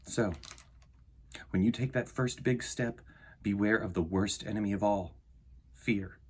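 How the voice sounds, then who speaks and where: A man hosting a children's storytime, reading aloud and talking to young listeners in an earnest, emphatic, deliberate way. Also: lowish voice, husky. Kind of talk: expressive storybook read-aloud and talk to children.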